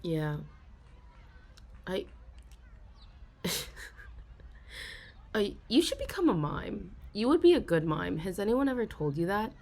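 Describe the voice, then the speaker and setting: A young woman in an ASMR role-play, confiding softly to a listener, giggling often and halting with restarts. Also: mid-range voice, sing-song. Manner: soft, giggly, self-conscious, halting with many restarts.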